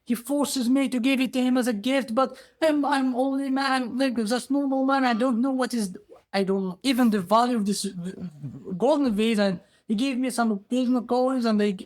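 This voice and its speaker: Humble, stammering peasant played by a male storyteller, pitched high, pleading, non-native English, oral folk tale. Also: fairly even intonation, normal speed. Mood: pleading, defensive. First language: non-native English speaker. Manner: stammering, protesting, repetitive.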